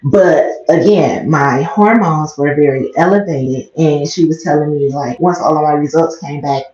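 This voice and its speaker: An American woman with a slightly raspy voice, talking quietly and casually in a rambling vlog, recorded on a headset mic in a small bathroom. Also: normal speed. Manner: low-key, talking quietly. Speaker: vlogger livestreamer. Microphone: headset mic close to mouth. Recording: muffled, echoey small room.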